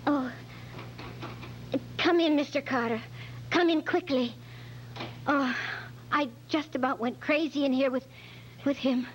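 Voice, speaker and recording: A woman's voice: high voice, measured pace, long pauses. A woman playing a flustered, anxious secretary who hesitates and stammers as a witness in an amateur radio detective drama. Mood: agitated. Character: nervous, flustered female secretary, evasive witness. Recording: dull, lo-fi recording with faint hum.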